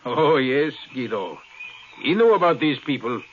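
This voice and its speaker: A noisy, muffled old radio recording carries a cold space explorer with a forceful, bright voice. He is clipped and commanding, proud and secretive, in the manner of 1950s radio drama. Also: mid-range voice, big pitch swings, a little slow. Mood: guarded, evasive.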